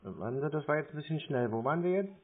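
A man's voice: fairly high pitch, lively intonation, slightly rough. Young man dictating over a phone line. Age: 20s. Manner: deliberate, reads digits one at a time. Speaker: call recipient baiting a scammer.

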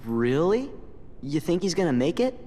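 A man's voice: on the high side, big pitch swings, moderate pace, dark tone. A man voicing an earnest, upbeat teenage boy hero with an eager manner in a video game cutscene. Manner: eager, boyish. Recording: reverberant hall, faint background noise.

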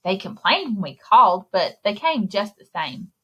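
A woman in her thirties reading a picture book aloud to children in an expressive, exclaiming way, speaking directly to her young listeners, with a slightly husky voice. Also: mid-range voice, normal speed, muffled recording. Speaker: children's librarian and story time reader. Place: indoor room, recorded screen share with webcam.

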